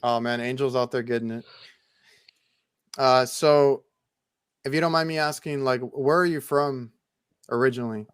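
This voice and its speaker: Male podcast host, smooth clear voice, dry close sound, easygoing questions. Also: medium pitch, fairly even intonation, moderate pace, long pauses, no room sound. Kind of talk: casual podcast chat.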